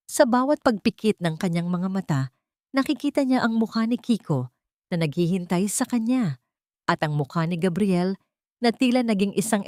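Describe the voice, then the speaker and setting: A woman with a Filipino accent narrating a dramatic scripted story in a solemn, emotive, deliberate way. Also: medium pitch, a little mellow, dry recording, clean studio sound.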